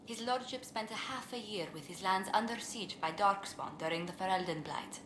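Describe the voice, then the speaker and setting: A woman playing a courteous, tactful court diplomat in a fantasy game, speaking in a polite, measured, formal way. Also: mid-range voice, natural voice. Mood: courteous, composed. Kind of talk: scripted game dialogue. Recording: echoey room, clearly noisy game capture.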